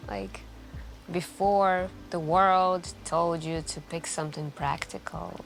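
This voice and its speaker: A woman playing a mysterious, lonely late-night café stranger in an intimate ASMR roleplay, soft-spoken and wistful, musing hesitantly with lingering pauses. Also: on the low side, fairly even intonation, gravelly, rough recording. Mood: curious, reminiscing. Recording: background music.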